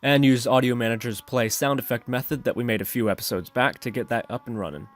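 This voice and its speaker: A man narrating an instructional screencast as the host of a software tutorial. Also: medium pitch, fast talker, faint music behind.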